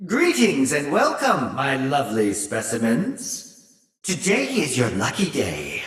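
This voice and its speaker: A cruel older mad scientist from a video game, sinister and theatrical. He draws out his mocking words slowly, with pitch that leaps and dives dramatically. The voice rings in an echoey, reverberant space, full of condescension and glee. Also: fairly bright tone, heavily acted. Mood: pompous, gloating. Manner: condescending, drawn-out, mocking articulation. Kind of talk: video game villain acting.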